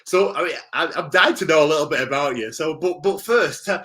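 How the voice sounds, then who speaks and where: A man's voice: fairly high pitch, brisk, sharp tone. A man hosting a live-streamed interview, chatty and upbeat, who laughs often. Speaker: podcast host. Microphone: video call.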